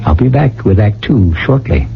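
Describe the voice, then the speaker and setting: A man hosting and narrating a radio mystery series in a wry, deliberate, theatrical style, posing rhetorical questions. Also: fairly low pitch, expressive, vocal fry. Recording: muffled radio broadcast, loud music.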